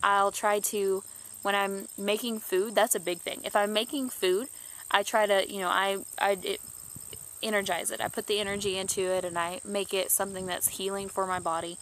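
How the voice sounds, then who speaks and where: Young woman with allergies, stuffed-up voice, mid pitch, casual rambling vlogger. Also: little pitch movement, normal speed, fairly bright tone, slight background noise. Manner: rambling, many restarts and fillers. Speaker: YouTuber. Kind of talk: casual chat to camera.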